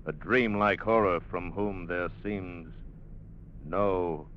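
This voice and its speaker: Very deep ominous narrator. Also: male voice, slow, slight background noise. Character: ominous dramatic narrator of dread. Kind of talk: 1950s radio teaser narration. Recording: muffled vintage radio recording. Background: dramatic music.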